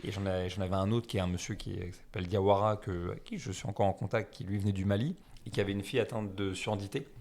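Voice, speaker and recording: Low, gravelly-voiced Frenchman rambling casually. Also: steady tone, fast talker, few pauses, a little mellow, slight background noise. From France. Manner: rambling, self-deprecating, many fillers. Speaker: guest. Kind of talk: casual conversational interview.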